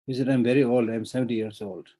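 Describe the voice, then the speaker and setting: A man's voice: medium pitch, fairly even intonation, quick pace, dark tone. A man teaching Buddhism online, speaking earnestly, repeating phrases and often saying "you know". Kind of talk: dharma teaching.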